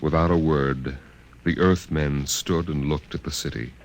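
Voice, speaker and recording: Deep-voiced dramatic male narrator over music. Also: steady tone, unhurried.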